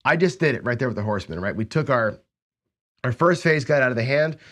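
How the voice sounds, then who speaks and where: A man's voice: medium pitch, lively intonation, quick pace, a bit bright. A man with a cold and a stuffy nose explaining a gambling strategy in a conversational tutorial, often checking in with his listeners. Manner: conversational, frequent tag questions "right?". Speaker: host of a casino gambling channel.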